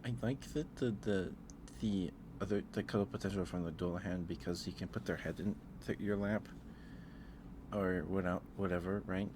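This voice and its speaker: A man doing a casual, rambling ASMR livestream, speaking in a hesitant, musing way and repeating himself over clear background noise. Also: deep voice, fairly even intonation, normal speed. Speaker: live streamer.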